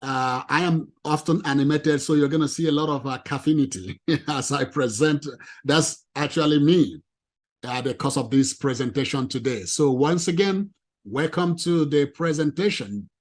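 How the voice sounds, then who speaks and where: A man with a non-native English accent giving an animated, emphatic presentation to a professional audience. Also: on the high side, normal speed. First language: not English. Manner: emphatic, lively, addresses ladies and gentlemen.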